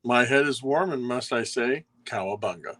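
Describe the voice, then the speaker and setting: A man's voice: mid-range voice, normal speed, fairly bright tone. A man chatting casually as a guest in a laid-back, rambling way. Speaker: show guest. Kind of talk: casual chat.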